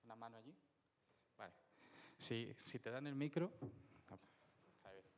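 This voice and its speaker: A man's voice: mid-range voice, lively intonation, unhurried, a little hiss or hum. A man giving a technical conference talk, questioning the audience and checking in with "¿vale?" as he explains. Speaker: technical speaker. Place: conference hall. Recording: reverberant hall.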